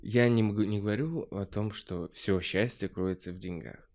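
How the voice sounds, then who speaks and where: A man's voice: on the low side, normal speed, a little mellow. A man talking casually in a podcast discussion, explaining his views earnestly and emphatically.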